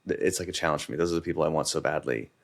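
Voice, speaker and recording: A man speaking as a podcast guest in casual conversation, relaxed and candid. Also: rumbling low, very fast, creaky. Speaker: podcast guest, content creator.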